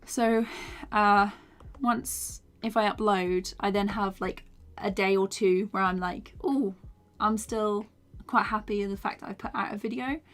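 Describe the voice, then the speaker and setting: A young woman chatting casually while she animates on a live stream, her voice tired from a day of talking, often laughing and drifting into soft mumbles as she works. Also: mid-range voice, fairly even intonation, unhurried, few pauses. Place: small home room, indoors. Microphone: desk microphone with foam cover.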